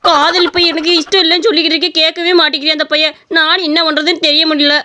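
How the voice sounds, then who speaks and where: A woman's voice: sits high, level tone, quick pace, sharp tone, slight background noise. A woman playing a vain old village mother in a comic skit, dramatic and full of complaint. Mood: self-pitying. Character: vain, melodramatic old mother fending off suitors.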